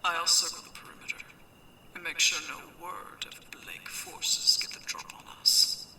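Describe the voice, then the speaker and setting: A woman playing a commanding, teasing mech pirate captain in a sci-fi ASMR roleplay, speaking in a hushed, imperious way. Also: lowish voice, steady tone, normal speed, roomy sound, recorded from a distance, slight background noise, rough recording. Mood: teasing, possessive. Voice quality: hushed, breathy whisper. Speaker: voice actor in an audio roleplay.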